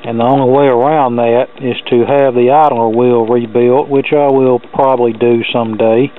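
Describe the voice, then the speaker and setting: A man's voice: mid-range voice, steady tone, normal speed, slight background noise. A man giving a casual, matter-of-fact explanation of a hands-on repair, with a dry sense of humor, recorded in a workshop on a camera mic. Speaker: hobbyist repairer presenting a demonstration. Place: indoor cluttered electronics workshop. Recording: muffled, narrow phone-like sound.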